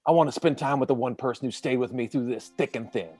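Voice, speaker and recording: A man's voice: medium pitch, quick pace, a little mellow, breathy edge, no echo, clean studio sound, faint music behind, close to an everyday voice. A man playing a pompous, melodramatic hero with a lightsaber in a comic radio play, declaiming in mock-heroic style. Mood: wounded pride.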